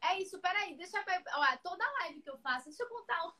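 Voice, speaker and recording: A woman's voice: very high-pitched, lively intonation, fast, pushed and bright. A woman teaching essay writing on a live stream, chatty and reassuring, calling viewers by name. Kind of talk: live-streamed class, casual chat.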